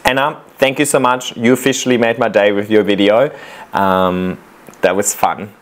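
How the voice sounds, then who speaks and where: A man with a somewhat low voice talks through plant-care advice in a loose, chatty way. He drifts between points with small fillers and restarts. It sounds like an easygoing creator commenting on viewers' clips. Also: moderate pace. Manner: relaxed, chatty, giving practical tips with fillers. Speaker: plant content creator reacting to viewer videos. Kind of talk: casual explanatory commentary.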